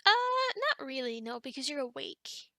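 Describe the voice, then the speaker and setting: Woman acting as teasing sleep demon, bright voice, very dry close sound, casual rambling, hesitant, ASMR roleplay. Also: sits high, very animated, moderate pace, no echo, full character voice. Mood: matter-of-fact, chatty. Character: chatty, teasing female sleep paralysis demon, formerly human. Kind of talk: ASMR roleplay, one-sided conversation.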